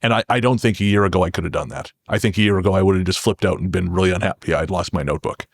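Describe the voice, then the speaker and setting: A man's voice: low voice, very fast, gravelly, no echo. A man speaking casually and candidly as a podcast guest, a little self-deprecating about himself. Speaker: podcast guest, horror fiction writer. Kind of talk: casual interview talk.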